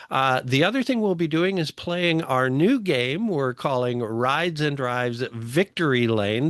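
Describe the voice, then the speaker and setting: A man's voice: fairly high pitch, a bit bright, no room sound, studio quality. A man hosting a podcast in a casual, conversational presenting style. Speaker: podcast host.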